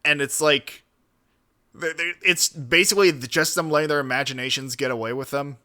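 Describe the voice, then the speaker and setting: A man's voice: on the high side, steady tone, normal speed, bright, forceful tone. A man streaming casual, rambling, profane reaction commentary.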